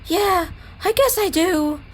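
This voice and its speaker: A woman's voice: very high-pitched, takes it slowly. A woman playing a friendly female switcher engine in an audio drama, coy and politely teasing.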